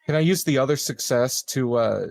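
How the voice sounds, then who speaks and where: Male tabletop player, average pitch, informal bantering talk at the game table. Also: moderate pace, creaky, darkish tone. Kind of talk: casual game-table chat.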